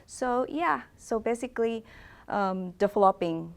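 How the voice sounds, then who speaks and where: A woman's voice: a little high, animated, unhurried, gravelly. A middle-aged woman from Indonesia, a real estate agent answering interview questions in a hesitant way, full of fillers and restarts.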